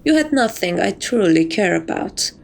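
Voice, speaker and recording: A woman playing a seductive, possessive catgirl thief who dotes on her captive, speaking softly and teasingly in a romantic ASMR roleplay. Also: medium pitch, big pitch swings, normal speed, vocal fry. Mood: smug, wistful. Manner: soft, coaxing, teasing, doting.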